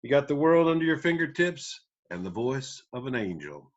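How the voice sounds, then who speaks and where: A man's voice: medium pitch, big pitch swings, moderate pace, muffled recording. A man playing a tender, encouraging country father in a screenplay read over a web call. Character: tender country father singing with his little daughter.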